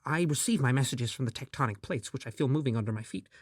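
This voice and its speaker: Comedy sketch role: strange little self-styled sorcerer played by a man, grandiose and deliberate, mid-pitched, close dry sound. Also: no echo, close to an everyday voice. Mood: earnest, fervent. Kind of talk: improvised comedy sketch acting.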